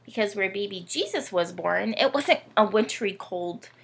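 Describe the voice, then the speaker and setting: A woman hosting storytime for children in an animated, teacherly way, often putting questions to her young listeners. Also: medium pitch, normal speed, gravelly, slightly rough. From Canada. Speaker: children's read-aloud host.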